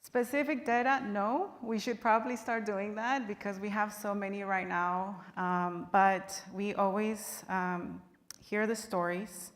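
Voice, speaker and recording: A woman from Guatemala speaking on a panel, earnest and measured with occasional fillers. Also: mid-range voice, steady tone. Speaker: panelist, business partner. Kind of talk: panel remarks. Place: large convention hall. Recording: reverberant hall sound.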